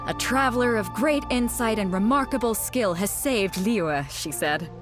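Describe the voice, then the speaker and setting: A woman's voice: mid-range voice, animated, measured pace, music in the background, lightly acted. An actress voicing a hearty, confident female ship captain in a video game, with breezy, teasing delivery. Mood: intrigued, friendly. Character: bold, hearty female ship captain, swaggering and good-humoured. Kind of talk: video game story dialogue.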